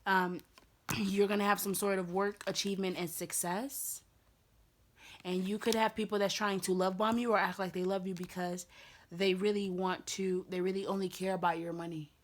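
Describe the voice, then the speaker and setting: Chatty raspy-voiced woman reading tarot. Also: mid-range voice, level tone, normal speed. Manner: chatty, repeats herself with many fillers. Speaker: tarot reader.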